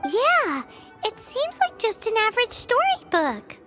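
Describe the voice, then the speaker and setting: A squeaky, childlike fairy companion from a video game, with an extremely high voice that leaps wildly up and down. She chatters excitably and grows suspicious and whiny in a flash. Game music plays clearly behind her. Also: unhurried, full character voice. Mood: chatty. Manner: excitable, refers to herself in third person. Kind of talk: game voice acting.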